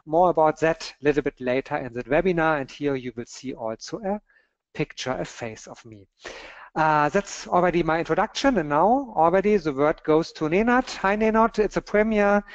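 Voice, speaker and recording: A man from Germany with a German accent, whose first language is German, opening an online webinar as co-moderator in friendly, halting English. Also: fairly high pitch, dry recording. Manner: friendly, halting non-native phrasing. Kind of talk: webinar introduction. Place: home or office in Berlin. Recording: dull, dry online webinar audio.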